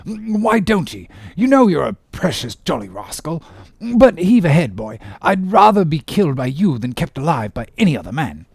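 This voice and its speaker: Man acting a boisterous, high-swooping whaling captain. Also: very animated, moderate pace, breathy edge, no room sound, clean studio sound, exaggerated voice. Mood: teasing. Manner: exclamatory, ribbing, boisterous. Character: hearty, jovial one-armed English whaling captain, teasing and blustery.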